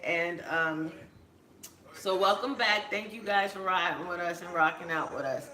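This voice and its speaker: A young woman co-hosting a casual talk show, her voice hoarse and cracking, chatting with many fillers and restarts. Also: fairly low pitch, moderate pace, bright, forceful tone, echoey room, slight background noise. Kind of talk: casual chat.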